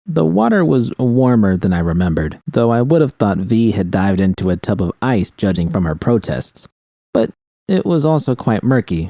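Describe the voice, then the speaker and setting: A man's voice: on the low side, warm tone, no room sound. A man reading scripted first-person fiction as a narrator.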